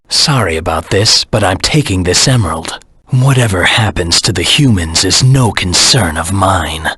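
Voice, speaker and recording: Dark video game antihero, very husky rasp, hard forceful edge, terse, vengeful, self-assured. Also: male voice, deep voice, no echo, full character voice. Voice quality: gravelly, husky. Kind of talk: scripted video game character voice acting.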